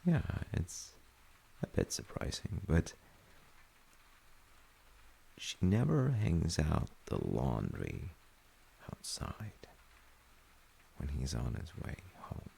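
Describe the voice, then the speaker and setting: A man acts out a thoughtful, comforting father in a bedtime audio roleplay. His voice is extremely creaky and husky, with a dark, mellow tone. He speaks softly and reflectively, hesitating mid-sentence as he gently reassures. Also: huge pitch swings, takes it slowly, long pauses, full character voice, poor audio. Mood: gently musing. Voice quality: very deep, gravelly, husky. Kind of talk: soft-spoken sleep-aid audio roleplay.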